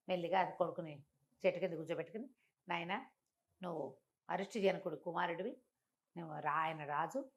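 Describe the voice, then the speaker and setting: Animated older woman telling a story. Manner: animated, acts out quoted speech with big pitch jumps. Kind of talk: telling a traditional moral story.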